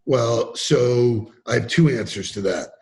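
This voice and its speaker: A man talking as a podcast guest in a casual interview, animated and emphatic, acting out quotes as he tells stories. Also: medium pitch, unhurried, vocal fry, a little hiss or hum. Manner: animated, emphatic storyteller who acts out quotes. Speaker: podcast guest, sales trainer.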